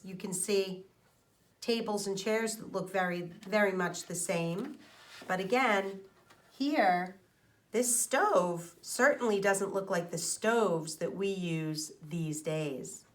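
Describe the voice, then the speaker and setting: A woman teacher reading a children's storybook aloud, expressive, explaining things to young listeners and asking them questions. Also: medium pitch, slow pace.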